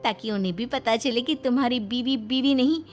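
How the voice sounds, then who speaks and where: Woman acting high-pitched, swaggering young daughter-in-law. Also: brisk, faint music behind, lightly acted. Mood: boastful, stubborn. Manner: theatrical, boastful. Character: swaggering, stubborn young daughter-in-law obsessed with a film hero. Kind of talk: Hindi cartoon story voice acting.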